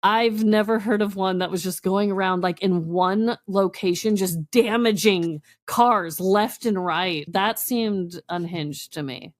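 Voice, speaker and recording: A woman hosting a comedic podcast, chatting casually in a playful, animated way. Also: medium pitch, a little slow, few pauses, dry recording. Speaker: podcast host. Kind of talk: casual comedic true crime podcast chat.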